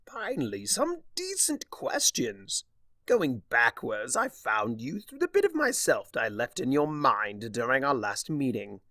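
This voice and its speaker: A man's voice: mid-range voice, wildly swooping pitch, unhurried, bright, forceful tone, dry recording, studio quality, full character voice. A man voicing a mocking, vengeful chaos creature in a fan fiction reading, with a pompous, wordy, taunting manner. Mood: smug mocking. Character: theatrical, mocking, vengeful male chimera trickster of chaos.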